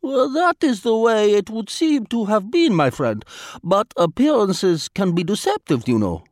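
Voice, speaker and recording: A man's voice: high voice, big pitch swings, drawn-out words, clean tone, dry recording, clean studio sound, voice pushed up, full character voice. A man voicing a wily, self-mocking foreign spy who speaks formal English and drops his articles. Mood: smooth evasive.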